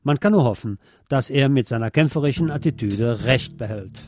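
A man's voice: medium pitch, expressive, moderate pace. A man reading a scripted political and music commentary as a presenter.

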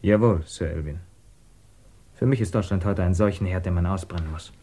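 Low-voiced, guarded émigré scientist. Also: male voice, dark tone. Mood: resolute, earnest. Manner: measured, formal, polite, resolute. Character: guarded, earnest German émigré physicist, a job candidate. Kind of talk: 1950s German radio drama acting.

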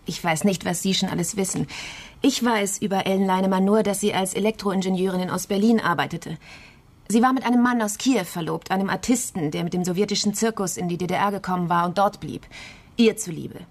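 A woman's voice: medium pitch, a little fast, natural voice. An actress in a crime radio play voicing a composed, determined investigator, matter-of-fact and clipped as she reports a case. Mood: sober.

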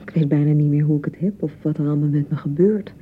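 A woman acting a touchy, nervous young bride in a radio drama, with a Netherlands Dutch accent and a slightly low voice. She rambles and keeps correcting herself. The sound is dull, with some rumbling noise. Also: fast, close to an everyday voice. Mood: nervous, flustered. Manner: hesitant, rambling, self-correcting. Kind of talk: naturalistic radio play dialogue.

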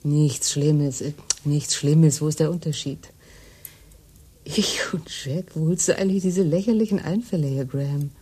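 A breathy, mocking woman. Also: low-pitched, moderate pace, warm tone. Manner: mocking, dry. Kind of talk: radio play acting.

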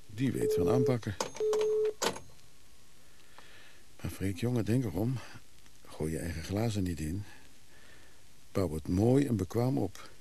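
An actor playing a wry, scheming antiques hustler in a hissy radio play recording, courteous but hesitant with others. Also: male voice, fairly low pitch, vocal fry, dark tone, exaggerated voice. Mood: grumbling, wry. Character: scheming antiques hustler in his mechanical-music trade, wry, smooth. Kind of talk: Dutch radio play acting.

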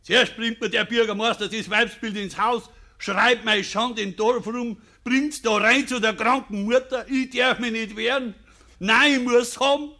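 A man's voice: very high voice, steady tone, measured pace, sharp tone, heavily acted. An actor playing a proud, embattled village father in a German radio play, blunt and indignant, in Bavarian dialect. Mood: outraged.

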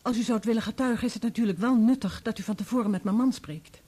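An actress playing a polite, determined wife in a Dutch radio play, husky and purposeful. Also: female voice, a little high, fast, dark tone. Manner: courteous, purposeful. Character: polite, determined wife seeking help for husband.

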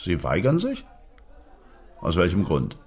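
An actor playing an imperious, menacing boss in a German radio drama, speaking with formal, suave command. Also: male voice, low voice, huge pitch swings, a little slow, darkish tone, a faint crowd, heavily acted. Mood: cold, threatening. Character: imperious, menacing male boss of shady dealings.

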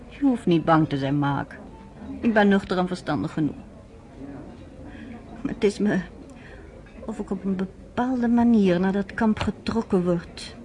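A woman's voice: mid-range voice, measured pace, long pauses, mellow, lightly acted. A woman playing a self-assured American nurse. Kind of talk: radio drama acting.

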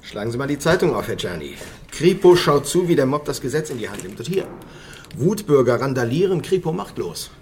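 An exasperated male police chief, with a medium-pitched, very animated voice. He scolds sarcastically in radio crime drama style, over faint noise. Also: brisk. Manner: sarcastic, demanding. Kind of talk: naturalistic radio drama dialogue.

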